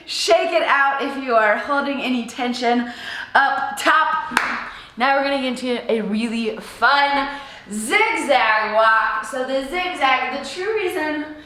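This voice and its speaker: Hoarse, giggly woman coaching a home workout. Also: high-pitched, sharp tone. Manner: bubbly and giggly, coaching with playful asides. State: raspy, hoarse voice. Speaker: fitness coach. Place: apartment room. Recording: echoey room, distant from mic.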